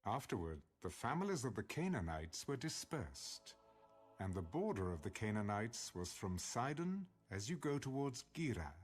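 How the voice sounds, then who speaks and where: A man reading scripture as an audiobook narrator in a measured, solemn manner. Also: fairly low pitch, very animated, slightly rough, soft background music.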